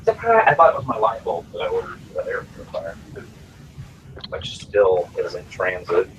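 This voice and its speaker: A man rambles through an easygoing home vlog, stopping to restart sentences and fill pauses. A noticeable layer of background noise sits under his voice. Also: deep voice, huge pitch swings, fairly bright tone, dull audio. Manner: rambling, with many restarts and fillers. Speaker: vlogger. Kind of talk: casual home chat. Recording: noisy home recording.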